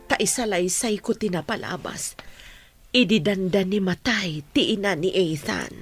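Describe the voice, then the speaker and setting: An actress playing a humble, devoted godmother in a radio drama, with a husky voice and an earnest, emotional delivery. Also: mid-range voice, moderate pace, loud music behind the voice, natural voice. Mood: sorrowful. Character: humble, devoted godmother who raised her friend's son.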